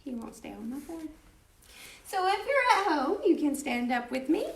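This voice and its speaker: A woman's voice: high-pitched, big pitch swings, moderate pace. A woman leading a children's storytime, giving simple singsong instructions to young children. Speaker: children's library storytime host. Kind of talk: children's singalong and rhymes with instructions. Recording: roomy echo, distant microphone.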